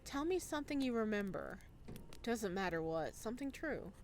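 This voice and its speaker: A woman voicing an awkward, intense, mysterious young man from a visual novel, hesitant and soft-spoken. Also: medium pitch, very animated, normal speed, a little hiss or hum, natural voice. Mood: gentle, intense. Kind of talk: visual novel character read aloud.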